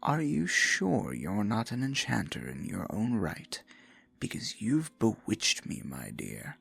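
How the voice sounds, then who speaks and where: A man playing a husky, possessive dark sorcerer. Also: huge pitch swings, dry recording, faint music behind, full character voice. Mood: besotted, possessive. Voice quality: low, husky, gravelly. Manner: slow, theatrical, breathy murmuring with mock-sweet endearments. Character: possessive male dark sorcerer, theatrical, silkily menacing captor. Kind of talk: ASMR fantasy audio roleplay.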